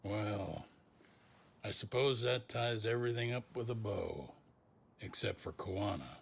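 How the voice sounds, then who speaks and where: An actor playing a wry, skeptical, weary male superior in a video game, musing dryly and deliberately. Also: on the low side, creaky, husky, exaggerated voice. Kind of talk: English video game dub, cutscene acting.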